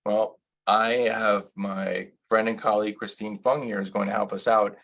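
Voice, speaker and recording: A male attorney with a low, gravelly voice and a husky, breathy edge, presenting over a video call. He explains step by step and steers the talk with questions to his co-presenter. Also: level tone, moderate pace, a bit bright. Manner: explanatory, asks his colleague guiding questions. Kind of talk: legal webinar presentation.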